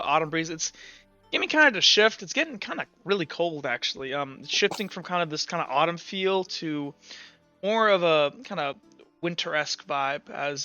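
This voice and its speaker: Bright-voiced young game master, lo-fi mic. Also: male voice, on the high side. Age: young adult. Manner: loose and hedging, full of kinda and uh. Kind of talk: narrating a tabletop game. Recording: dull lo-fi mic.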